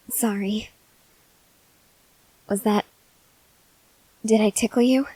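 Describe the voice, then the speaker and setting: A woman playing a gentle, awkward, hungry female vampire in an ASMR roleplay, speaking softly and hesitantly with breathy near-whispers and tender reassurance. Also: medium pitch, extremely slow, no echo, rough recording. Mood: careful, tender. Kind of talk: ASMR roleplay, intimate address to the listener.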